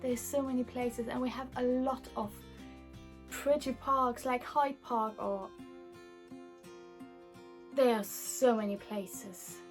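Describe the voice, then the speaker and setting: A woman's voice: fairly high pitch, fairly even intonation, slow, long pauses, soft background music. A native German-speaking woman with a German accent, chatting casually as she answers questions for a video blog. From Germany or German-speaking Europe. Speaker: video blogger.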